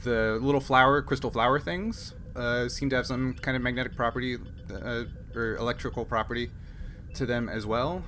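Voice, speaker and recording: A man acting as game master, narrating a tabletop roleplaying game and explaining rules in a halting, think-aloud way. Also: medium pitch, fairly even intonation, fairly bright tone, a little hiss or hum.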